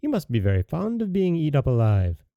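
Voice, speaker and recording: A man voicing a haughty, scheming little yellow dwarf in a high, disdainful voice. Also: wildly swooping pitch, fairly quick, no echo, phone-quality sound.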